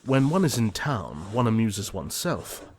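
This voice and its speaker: An actor playing a self-assured, playfully evasive upper-class English gentleman in an audio play, with genteel, declamatory phrasing. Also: fairly low pitch, big pitch swings, normal speed, slight background noise. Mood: airy. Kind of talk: audio play acting of Victorian comedy of manners.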